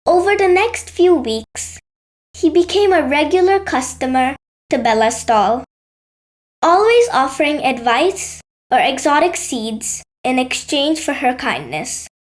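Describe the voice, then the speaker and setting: A woman's voice: sits high, sing-song, a little slow. A woman narrating a scripted bedtime story for children as a storyteller.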